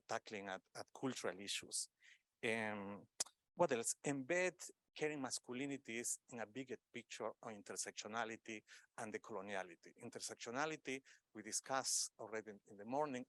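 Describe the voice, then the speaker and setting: A middle-aged man from Peru, speaking as an academic on a conference panel, unscripted and halting, often searching for words between fillers. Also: mid-range voice, fairly bright tone, dry recording.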